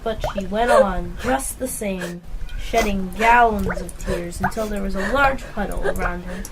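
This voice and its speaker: A woman's voice: medium pitch, unhurried. A female narrator reading scripted storybook narration in an amateur radio play. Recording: faintly noisy amateur recording.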